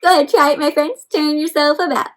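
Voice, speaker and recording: A woman reading a picture book aloud for children in an animated, encouraging way, addressing them directly with questions and simple explanations. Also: high-pitched, fast talker. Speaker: read-aloud storyteller for children.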